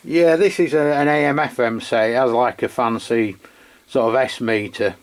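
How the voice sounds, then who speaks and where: An English man hosting a radio demo in casual unscripted chat, halting and rambling as he corrects himself. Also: mid-range voice. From England. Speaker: amateur radio channel host. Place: beside his radio set, filming the equipment.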